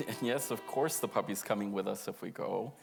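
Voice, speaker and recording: A young man with a lowish, gravelly voice reads a personal monologue aloud. His delivery is earnest and emotive, like storytelling on stage, with music playing behind him. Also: normal speed. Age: young adult.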